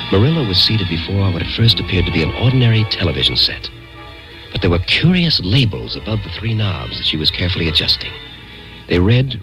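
An actor playing a curious, insistent man narrating his own eerie mystery in a deliberate, expressive radio drama style. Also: deep voice, low-quality recording. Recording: radio drama with music behind.

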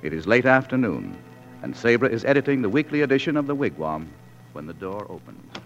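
A man's voice: mid-range voice, big pitch swings, brisk, vocal fry. A man narrating a scripted 1940s radio drama. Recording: dull 1940s radio recording, music behind. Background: music.

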